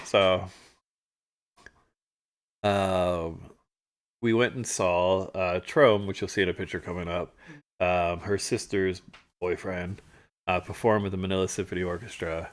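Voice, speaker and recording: A man co-hosting a livestream, chatting casually through stories and photos from a trip, animated when he retells moments. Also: sits low, a little slow, pauses often. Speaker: livestream co-host. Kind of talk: casual storytelling chat over travel photos.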